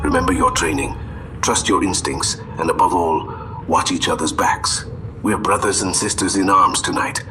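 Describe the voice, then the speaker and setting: A man's voice: low voice, steady tone, moderate pace, poor audio. A man voicing a fierce, commanding warrior leader in an audio drama. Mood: solemn, steady. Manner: commanding, urgent, forceful. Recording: loud music under the voice.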